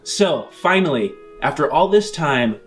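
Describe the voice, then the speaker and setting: A man's voice: fairly high pitch, sing-song, measured pace, a bit bright, soft background music. A man hosting a game podcast as game master in a casual, conversational style.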